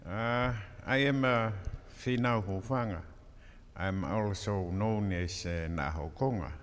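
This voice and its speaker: A man in his seventies with a Tongan accent, speaking haltingly as he addresses a meeting. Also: lowish voice. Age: 70s. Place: community hall. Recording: echoey hall.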